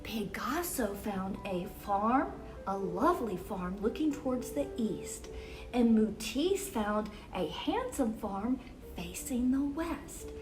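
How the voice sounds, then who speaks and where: A woman reading a picture book aloud to children as a storyteller, expressive and exclamatory, with dramatic emphasis on key words. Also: a little high, slow, recorded from a distance, music in the background, poor audio. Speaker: children's storyteller and event host. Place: University museum gallery.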